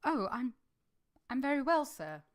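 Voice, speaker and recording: A woman's voice: high-pitched, very animated, measured pace. A woman acting an earnest, reserved young governess in a vintage radio drama, composed and polite in dialogue and narration.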